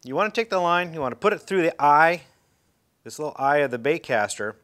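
A man's voice: a little high, animated, a little fast, fairly bright tone. A middle-aged man giving a casual, folksy how-to demonstration, talking loosely with fillers and restarts while seated indoors. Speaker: fishing instructor. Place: indoor room, seated in a chair.

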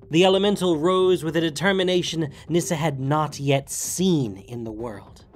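Animated male story narrator. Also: a little high. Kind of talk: dramatic scripted story narration.

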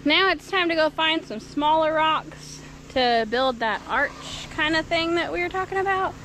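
A woman's voice: sits high, animated, a little slow, low-quality recording. Chatty woman filming outdoors. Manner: chatty, addresses viewers as y'all. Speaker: co-host filming the vlog. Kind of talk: casual narration to viewers. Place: outdoors at a homestead work site.

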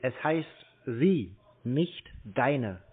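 A man's voice: medium pitch, lively intonation, very slow. A man on a phone call, talking in a curt, pedantic, unimpressed way. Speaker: scam-baiter taking scam calls. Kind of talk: phone conversation. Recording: tinny narrowband phone line.

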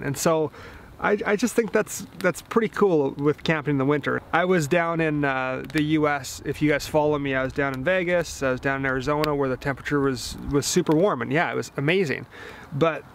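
A man's voice: on the high side, a little hiss or hum. A young man in his twenties chatting to a handheld camera at a snowy campground, upbeat and laughing easily. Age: 20s. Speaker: RV travel vlogger. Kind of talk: casual vlog to camera. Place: snowy campground outdoors and inside a small truck camper. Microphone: handheld camera at arm's length.

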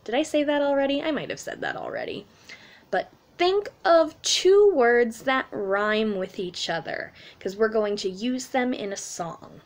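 A woman's voice: fairly high pitch, animated, a little slow. A woman in her thirties, a children's music teacher, explaining things simply and animatedly for young children in a story time and sing-along. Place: small room at home, indoors.

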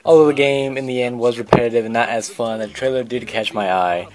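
A man's voice: medium pitch, fairly quick, a little mellow. A man giving casual, informal and opinionated commentary as the host of a video game countdown.

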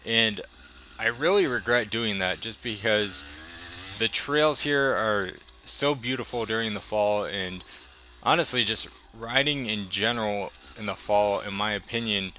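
Young man, vlog voice-over, relaxed rambling chat, somewhat low voice, faint hiss. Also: measured pace. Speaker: motovlogger. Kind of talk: casual voice-over commentary.

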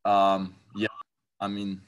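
A young man with a fairly low voice answers post-match questions as a college soccer player. He sounds tired from the game. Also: completely flat delivery, takes it slowly, smooth. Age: young adult.

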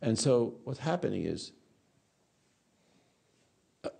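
Gravelly old man in his eighties, dark husky voice, halting, repeats words, lapel mic. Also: medium pitch, very animated. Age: 80s. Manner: gestures with hands while talking. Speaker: speaker answering audience. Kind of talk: rambling philosophical talk. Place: dark stage or studio.

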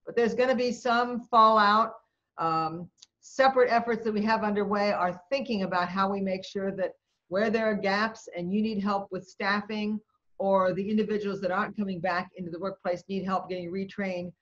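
A woman moderating a video call town hall, answering questions in a measured way with many fillers. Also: medium pitch, steady tone, a bit bright, muffled recording.

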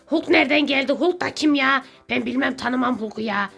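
A woman's voice: high voice, brisk, vocal fry, fairly bright tone, breathy edge, soft background music, pitched below the performer's own voice, heavily acted. A woman voicing a grumpy, disbelieving neighbour who complains in an exasperated way in a children's pretend-play skit. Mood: irritated, dismissive.

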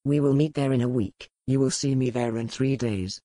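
A man plays a dangerous, roguish lord turned pirate in a historical romance audiobook. He speaks formally and with sardonic control, at a middling pitch, in a close, dry recording. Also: steady tone, normal speed, warm tone, dry recording, close to an everyday voice. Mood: mocking menace. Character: roguish, cool-headed aristocratic pirate lord, dangerous and mocking.

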